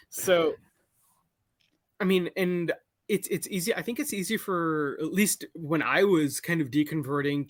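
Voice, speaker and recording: A young man calling in to a live show, talking in a halting way full of restarts and self-corrections. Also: a little high, dry recording. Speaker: caller. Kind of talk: casual call-in conversation. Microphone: call-in over a video call.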